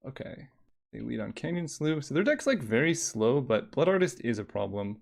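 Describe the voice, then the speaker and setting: A man's voice: mid-range voice, very animated, moderate pace, vocal fry. A young man streaming a card game, talking casually in a relaxing voice, thinking aloud and counting under his breath.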